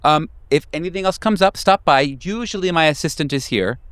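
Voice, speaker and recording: A man's voice: on the high side, animated, fairly quick, a bit bright, clean tone, no room sound, voice pushed up. A man voicing a friendly, eager woman in a tabletop game, chatting and rambling casually. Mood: warm, chatty. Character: friendly, eager woman contact offering her pager number.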